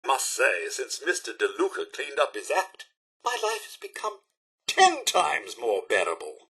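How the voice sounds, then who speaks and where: A man with a British accent voicing a fussy, courteous robot butler in a video game, with a raspy edge and florid, droll delivery. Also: mid-range voice, wildly swooping pitch, measured pace, pushed and bright, heavily acted. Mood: snide, disdainful, then grateful, dry. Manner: pompous, florid, theatrically courteous. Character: polite, fussy male robot butler, droll and long-suffering. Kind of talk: video game character voice lines.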